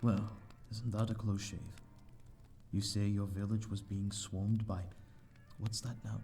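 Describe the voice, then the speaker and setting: A man's voice: on the low side, flat delivery, unhurried, vocal fry, dark tone, faint music behind. A man playing a courteous, lonely vampire host in an ASMR audio roleplay, speaking in a formal, flowery, slightly flustered and hesitant way. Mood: sympathetic, intrigued. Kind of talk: ASMR audio roleplay, scripted character acting.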